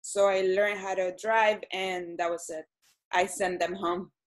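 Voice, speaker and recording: A woman, answering interview questions hesitantly with many pauses and ums. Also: medium pitch, flat delivery. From Venezuela. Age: 30s. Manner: hesitant, frequent ums and restarts. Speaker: guest.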